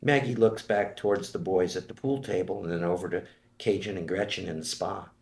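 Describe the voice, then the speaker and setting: A man's voice: sits low, a little fast, creaky, a little mellow. A man narrating scene directions from a screenplay at a table read. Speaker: stage directions reader. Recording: echoey room.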